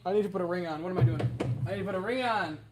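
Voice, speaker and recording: A young man with a hoarse, worn-out voice, rambling giddily to his livestream chat from home. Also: sits high, racing, background noise. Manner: giddy, rambling, talking to chat. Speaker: streamer.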